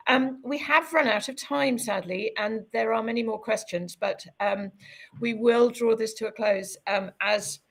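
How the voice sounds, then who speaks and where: A woman hosting a webinar panel over a video call, her voice going croaky, talking haltingly with many fillers. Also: fairly high pitch, rough recording. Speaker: webinar host.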